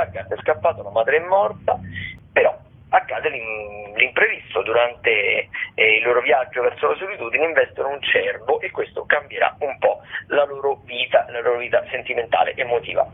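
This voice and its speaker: Gravelly man presenting radio arts listings. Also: medium pitch, narrow, tinny audio. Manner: hesitant, halting delivery with self-corrections. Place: radio studio.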